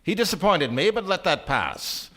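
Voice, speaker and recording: A man playing a sly, self-assured gentleman speculator in a stage radio play, speaking formally like an orator. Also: high voice, big pitch swings, moderate pace, full character voice. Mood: musing, confident. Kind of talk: stage radio drama acting.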